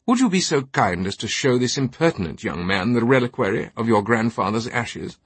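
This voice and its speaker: A man's voice: mid-range voice, expressive, fairly quick, breathy edge, no room sound. A man voicing an imperious, scheming older British knight with a haughty upper-class accent, in an audiobook. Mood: indignant. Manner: pompous, haughty.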